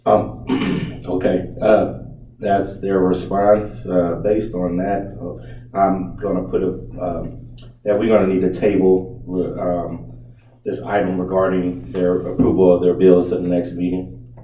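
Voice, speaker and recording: A man's voice: sits low, little pitch movement, slow pace, mellow. A man chairing a public board meeting as its president, hesitant and full of ums and uhs. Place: meeting room. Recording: echoey room, distant muffled mic.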